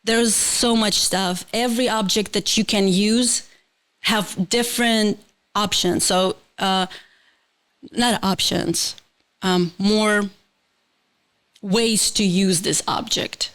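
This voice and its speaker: A woman's voice: medium pitch, fairly even intonation, slow pace, long pauses, fairly bright tone. A woman with a Ukrainian accent whose first language is Ukrainian, a guest on a casual podcast, often searching for words and restarting her sentences.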